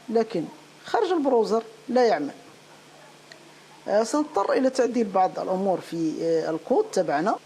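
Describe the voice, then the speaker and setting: A woman giving an unscripted step-by-step software tutorial, halting and repeating phrases as she searches for words. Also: mid-range voice. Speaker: programming tutorial instructor. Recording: clearly noisy home-made recording.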